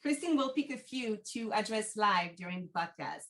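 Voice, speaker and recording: A woman with a French accent hosts a travel video podcast. She sounds middle-aged and speaks at an ordinary female pitch, delivering a prepared presentation to her audience. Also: expressive, normal speed, a bit bright. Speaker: podcast host, travel company team manager.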